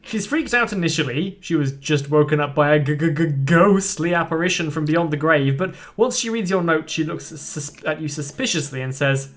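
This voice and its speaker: A man's voice: on the high side, normal speed, hardly any pauses, a bit bright. A man streaming a game with friends, reading its text aloud in a playful, punning, chatty way. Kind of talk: casual game stream chat and read-aloud narration.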